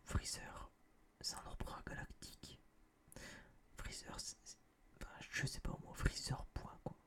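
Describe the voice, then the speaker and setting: A young man whispering a casual, opinionated ASMR review in a breathy voice, rambling and slangy, close to a binaural microphone. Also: unhurried, bright, forceful tone. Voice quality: breathy, mostly unvoiced whisper. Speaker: host of an ASMR film review video.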